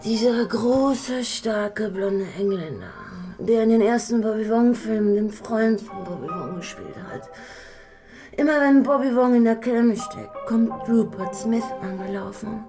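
Tipsy brassy floozy, slow slurred drawl, whispers, radio drama, music behind. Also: female voice, fairly high pitch. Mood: tipsy, flirty. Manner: slurred, crude and coquettish, drops to a whisper. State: drunk.

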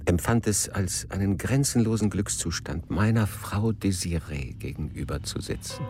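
An actor playing an evasive, courtly middle-aged newlywed husband in a radio drama, speaking formally and a little haltingly. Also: male voice, low-pitched, over music, close to an everyday voice. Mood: reflective confession. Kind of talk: radio drama dialogue and confessional narration.

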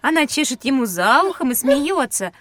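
A woman playing an attentive, inquisitive schoolgirl who speaks clearly and earnestly in a children's educational audio drama. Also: sits high, very animated, normal speed, noisy background. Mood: excited.

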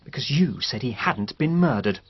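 An actor playing a resentful, unstable young husband in a radio mystery, intense and overwrought. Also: male voice, medium pitch, very animated, moderate pace, dull audio, a little hiss or hum, close to an everyday voice. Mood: agitated, bitter. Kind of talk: BBC radio mystery drama acting.

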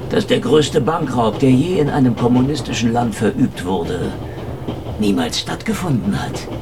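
An actor playing a tough, defiant, wisecracking investigator with a sardonic, flippant manner in a radio drama. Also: male voice, medium pitch, animated, moderate pace, lightly acted. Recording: clear train noise behind.

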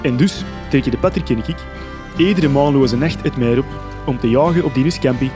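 A man's voice: medium pitch, moderate pace, natural voice. Folksy Flemish fisherman over music, played by a man. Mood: earnest. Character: folksy, chummy male village fisherman. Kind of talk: radio drama acting.

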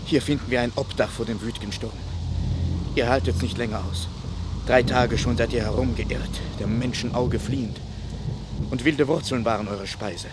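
An actor playing a gentle, devoted, protective country companion, speaking earnestly in a classical verse radio drama. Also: male voice, mid-range voice, fairly even intonation, normal speed, close to an everyday voice. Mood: caring. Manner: earnest, pleading. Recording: noisy recording with ambience.

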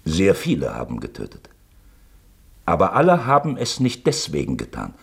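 An actor playing a shrewd, probing man in a German radio play, speaking with deliberate, insistent drama. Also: deep voice, wildly swooping pitch, creaky, breathy edge, heavily acted. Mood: intense, insistent. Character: shrewd, probing man quoting a suspect manuscript, insinuating.

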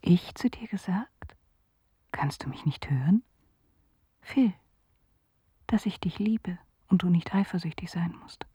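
Breathy, slow, dreamy woman. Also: lowish voice, animated, warm tone, dry recording, full character voice. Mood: pleading, tender. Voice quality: breathy, half-whispered. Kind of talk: German radio play acting.

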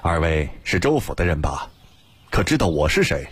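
A man voicing a proud, sardonic young gentleman in an audiobook, with a haughty manner. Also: rumbling low, very animated, moderate pace, breathy edge, no room sound, full character voice. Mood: haughty, irritated.

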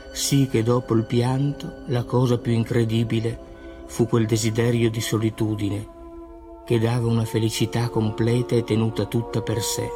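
A man giving a solemn poetry recitation, declaimed in measured short phrases. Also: medium pitch. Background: music.

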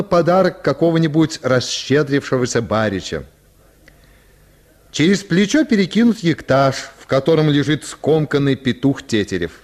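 A man narrating a literary short story for a radio drama in an expressive style. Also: a little high.

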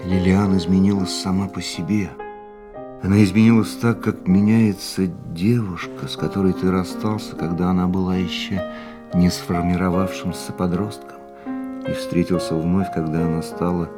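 A man's voice: deep voice, close to an everyday voice. An actor playing a lovesick, jealous man in a radio drama, speaking earnestly and broodingly. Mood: brooding, wistful. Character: lovesick, jealous man pleading with his beloved. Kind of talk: radio play acting with reflective narration. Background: music.

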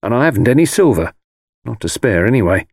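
A man's voice: medium pitch, big pitch swings, fast, dry recording, studio quality, voice pushed up. A man playing a curious, sceptical young man in an audiobook, politely questioning and coaxing. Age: young adult. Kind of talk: dramatised audiobook dialogue.

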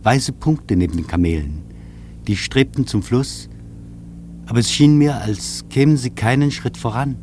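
An actor as a contemplative male air traveller tells his journey in a radio play. His voice sits at a medium pitch and his delivery is measured. A faint noise hums behind the vintage recording. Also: darkish tone, lightly acted. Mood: absorbed, wondering. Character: reflective male air traveller observing from a plane. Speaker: travelling narrator.

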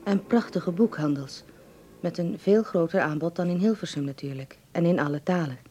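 An actress as a woman reading a letter in a radio play. Her voice is low and mellow, in a storytelling narration style. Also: moderate pace, lo-fi sound, close to an everyday voice. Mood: calm, reflective.

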